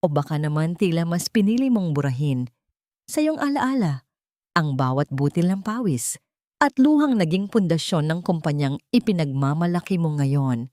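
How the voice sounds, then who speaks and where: A woman's voice: low voice, expressive, moderate pace, mellow, no room sound, studio quality. A woman voicing a stern, commanding woman in a dramatic audio story, cutting and accusatory, with a Filipino accent. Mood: bitter, reproachful.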